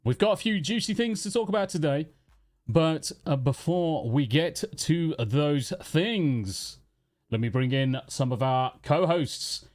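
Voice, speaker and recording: A man's voice: on the high side, expressive, a little slow, darkish tone. An English man hosting a casual livestream panel, bantering and laughing as he reads out the chat. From England. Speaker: host.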